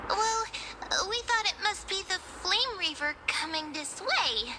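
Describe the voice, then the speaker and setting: Tiny, very high-pitched curious companion. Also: female voice, animated, a little slow, background noise. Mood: curious, amazed. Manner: wide-eyed, inquisitive. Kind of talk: video game voice acting. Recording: music under the voice.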